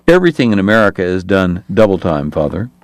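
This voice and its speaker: A man's voice: low voice, big pitch swings, quick pace, no room sound. A man voicing a sardonic, teasing man-about-town who gossips about the people in the room.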